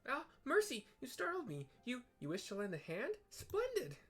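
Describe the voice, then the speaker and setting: A man's voice: very high voice, huge pitch swings, a bit bright, slightly rough, pitched above the performer's own voice, full character voice. A man voicing a flustered, overwhelmed young female receptionist from a fantasy game, fussing and exclaiming. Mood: startled, eager. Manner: exclaiming, fussing, hurried pleading.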